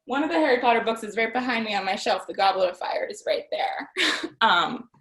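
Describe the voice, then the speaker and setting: A woman's voice: a little high, expressive, a little fast, fairly bright tone, reverberant. A young woman, a magazine writer, answering questions in a chatty, informal, self-deprecating way. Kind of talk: casual question and answer chat.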